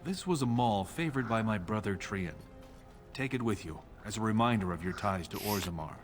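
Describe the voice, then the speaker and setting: A man's voice: lowish voice, expressive, normal speed, over music, natural voice. A man playing an imperious newly crowned dwarf king in a fantasy video game, speaking in a formal, commanding way. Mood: formal, satisfied.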